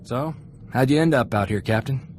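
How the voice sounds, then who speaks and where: A man's voice: medium pitch, moderate pace, darkish tone, soft background music, close to an everyday voice. An actor playing an earnest, idealistic young freighter crewman in a video game, talking in a folksy, chatty way. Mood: reflective, sincere. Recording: clear background ambient noise.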